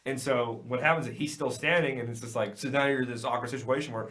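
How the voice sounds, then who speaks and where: A man telling magic show stories in casual podcast chat, lively and profane. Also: medium pitch, fast talker, a little hiss or hum. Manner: animated storyteller, swears often. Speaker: magician guest.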